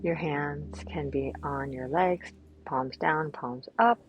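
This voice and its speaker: A woman guiding a meditation in a gentle, soothing manner, with long pauses and a soft voice that turns breathy at times. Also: deep voice. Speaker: yoga teacher and meditation guide. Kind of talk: guided meditation. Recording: dull, lo-fi sound. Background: clear background music.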